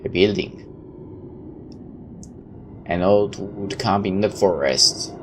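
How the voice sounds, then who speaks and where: A man's voice: low voice, slow. A man reading a visual novel aloud like a dramatic storyteller, tossing in his own asides. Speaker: let's player. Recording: clear background noise.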